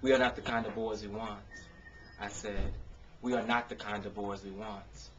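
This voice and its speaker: American guy doing spoken word, expressive delivery, kinda muffled camcorder audio w a bit of noise. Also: lowish voice, moderate pace. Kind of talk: spoken word poetry reading with casual introductions. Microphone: camcorder microphone. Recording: dull, noisy consumer camcorder sound.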